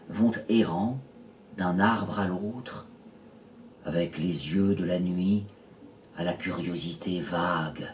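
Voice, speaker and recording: A man reading his poetry in a literary style, measured and pausing between short phrases. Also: fairly low pitch, dark tone. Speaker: poet reading own poems. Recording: roomy echo, distant mic, faint noise.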